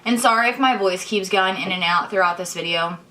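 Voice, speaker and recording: A young woman, hoarse and congested, chatting casually while she explains each step of her makeup tutorial. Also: mid-range voice, fairly quick, sharp tone, rough recording. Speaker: beauty vlogger. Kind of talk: chatty get-ready-with-me tutorial.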